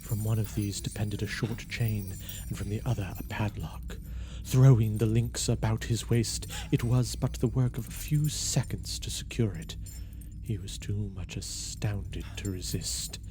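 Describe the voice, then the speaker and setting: A man giving a theatrical, deliberate and intense first-person reading of a gothic story as its narrator. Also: on the low side, over music.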